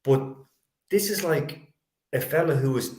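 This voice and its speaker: A man hosting a sports panel discussion, speaking in halting, stop-start phrases with repeated words. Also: fairly high pitch, a little mellow. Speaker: sports show host. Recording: roomy, echoey sound.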